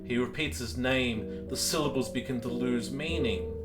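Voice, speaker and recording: A man running a tabletop game as game master, narrating in a slow, solemn storyteller's manner with deliberate pauses. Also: medium pitch. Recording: loud guitar music behind the voice.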